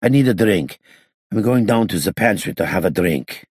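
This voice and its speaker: A man's voice: fairly low pitch, animated, moderate pace, a little mellow, husky, dry recording, studio quality, pitched above the performer's own voice. A man voicing a surly, agitated gangster in an audiobook, irritable and protesting. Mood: loud, demanding. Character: Hincus, surly, agitated gangster just freed from ropes.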